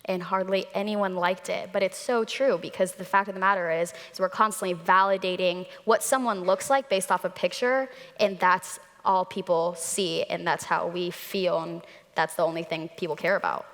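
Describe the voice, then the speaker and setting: A woman's voice: on the low side, steady tone, normal speed, a bit bright. A young adult woman talking casually as a panelist. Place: large hall, public panel. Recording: echoey hall, amplified panel.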